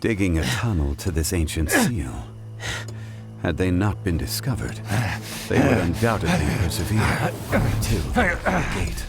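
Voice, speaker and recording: A dignified, wise man in a fantasy game, speaking in a lowish voice with formal composure. His commanding lines carry varied intonation over background music. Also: big pitch swings, a little slow. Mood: grave.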